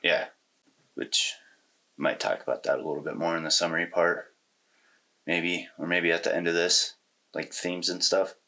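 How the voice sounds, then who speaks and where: Low voiced guy, kinda bright and punchy sound, casual podcast co-host chat, slangy. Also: fairly even intonation, moderate pace, pauses often. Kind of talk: casual conversational movie discussion.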